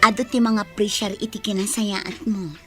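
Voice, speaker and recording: A woman acting as a devoted, encouraging wife in a Filipino radio drama. She has a mid-pitched voice, with faint music playing behind her. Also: level tone, moderate pace, bright, forceful tone, natural voice. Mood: proud, affectionate. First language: Ilocano. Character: supportive, devoted, public-minded wife. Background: background music.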